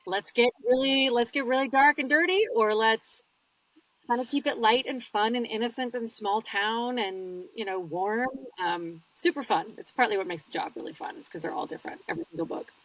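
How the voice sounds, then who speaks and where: A woman's voice: on the high side, normal speed, fairly bright tone, far from the mic, rough recording. A woman audiobook narrator, talking casually and explaining her work in an interview, laughing easily. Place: home studio.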